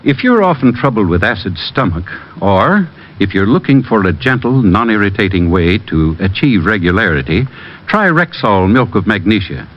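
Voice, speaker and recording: A man's voice: fairly low pitch, sing-song, moderate pace. A man reading a scripted commercial as a mid-century radio announcer. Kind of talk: scripted 1950s radio advertising. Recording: muffled vintage radio recording.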